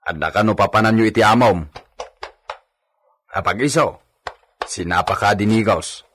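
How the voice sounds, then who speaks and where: Guy playing an obedient simple stable hand, lowish voice, chatty, bit of noise behind, radio comedy drama. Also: moderate pace, fairly bright tone, natural voice. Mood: chatty, fond. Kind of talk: comic radio drama acting.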